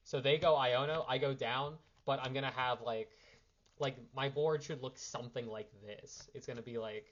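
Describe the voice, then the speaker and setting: A man's voice: mid-range voice, fairly even intonation, moderate pace, a bit bright, lo-fi sound, a little hiss or hum. A young man who is a little sick, casually explaining card game lines off the cuff, rambling and correcting himself as he handles cards. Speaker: card game player giving a strategy primer. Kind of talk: impromptu casual strategy explanation.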